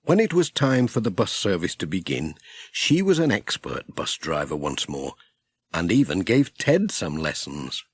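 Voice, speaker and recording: A man's voice: sits low, sing-song, unhurried, breathy edge, dry recording. A man with a British accent reading a children's story as a scripted audiobook narrator.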